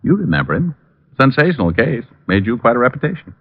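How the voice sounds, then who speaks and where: An actor playing a wisecracking, hard-boiled private detective in an old radio crime drama, with a wry, needling, slangy delivery. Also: male voice, medium pitch, sing-song, quick pace, slightly rough. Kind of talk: 1940s-50s radio crime drama acting. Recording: muffled old radio broadcast.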